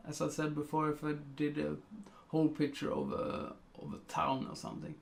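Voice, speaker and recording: A man from Sweden with a Swedish accent, talking through a live digital painting tutorial in slow, hesitant narration full of fillers. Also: on the high side, sing-song, vocal fry.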